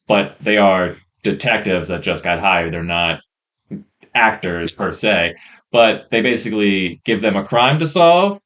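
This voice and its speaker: A man co-hosting a podcast, chatting casually in a rambling, hesitant way about what he has watched, on a rough microphone. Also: deep voice, lively intonation, unhurried, fairly bright tone. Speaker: podcast co-host reviewing films and shows. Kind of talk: casual chat. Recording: rough podcast mic audio.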